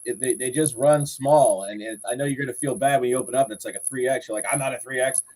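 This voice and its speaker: A man's voice: mid-range voice, fast talker, a little mellow, poor audio. A man in his forties from Florida, a podcast guest chatting and telling long rambling stories about his life. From Southwest Florida, United States. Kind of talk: casual chat.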